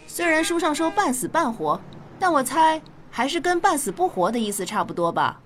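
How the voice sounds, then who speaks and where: Mother for radio drama: fairly high, expressive, gently chiding, faint noise behind. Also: normal speed. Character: mother, gently correcting her young daughter. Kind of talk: Chinese radio drama dialogue.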